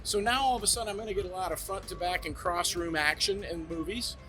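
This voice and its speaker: Brief: eager salesman type, man, high bright voice, demoing gear in a show room with faint music behind. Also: fast talker. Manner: eager sales pitch, lively explaining. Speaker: brand representative demonstrating home theater gear. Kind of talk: product demo talk. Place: demo room at a trade show.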